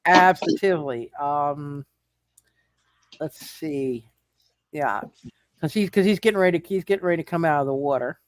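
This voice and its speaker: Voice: mid-range voice, moderate pace, pauses often, dry recording. A game master narrating a tabletop roleplay casually, often repeating words and phrases while working out what happens.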